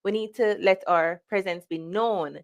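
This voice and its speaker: A woman's voice: mid-range voice, unhurried. A woman with a Jamaican accent hosting an online conference, greeting viewers and introducing the next speaker. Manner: upbeat, welcoming roll call of viewers. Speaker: conference host. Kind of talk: livestream event hosting.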